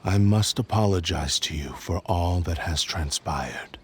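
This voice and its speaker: An actor voicing the remorseful male spirit of a cursed sword in a game dub, speaking solemnly and formally in a hushed, whispery voice. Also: low-pitched, a little slow, soft background music.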